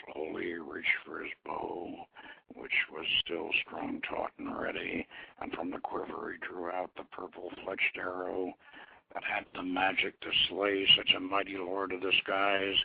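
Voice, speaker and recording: A man reading a story aloud in a dramatic, theatrical style with deliberate phrasing, over a tinny phone line. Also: lowish voice, vocal fry, slight background noise. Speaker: storyteller, show host.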